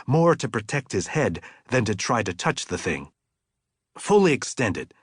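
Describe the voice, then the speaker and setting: A man reading literary fiction as an audiobook narrator, in a measured, deliberate and expressive way. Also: lowish voice, slightly rough, dry recording, studio quality.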